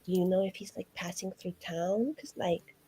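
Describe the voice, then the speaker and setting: A woman's voice: lowish voice, moderate pace, warm tone, slightly rough. A woman player speaking in character in a tabletop game, chatty and rambling with constant fillers. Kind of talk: in-character roleplay.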